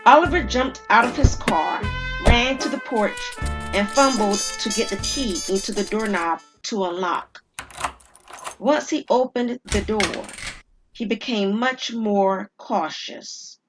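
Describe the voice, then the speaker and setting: A woman storyteller reading scripted dramatic narration with deliberate, suspenseful pauses. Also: mid-range voice, over music, low-quality recording.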